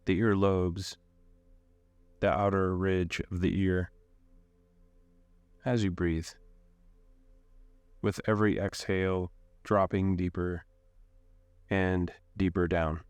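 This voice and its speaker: A man leading a guided meditation in a calm, unhurried way, giving gentle instructions with long pauses. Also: low-pitched, clear, resonant tone, no echo.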